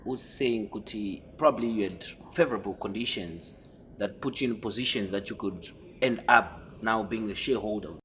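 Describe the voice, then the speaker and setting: A man hosts a talk show with a low-pitched voice, stumbling over words and restarting as he frames his questions. A faint hiss of background noise sits behind him. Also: normal speed, a bit bright. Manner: hesitant, repeats words, teasing. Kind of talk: interview questions.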